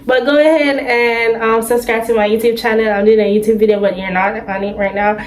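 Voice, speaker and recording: A woman's voice: on the high side, steady tone, a little fast. A young woman from Jamaica, chatting bluntly into her phone for her vlog and swearing freely. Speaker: vlogger. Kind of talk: casual vlog and video-chat banter.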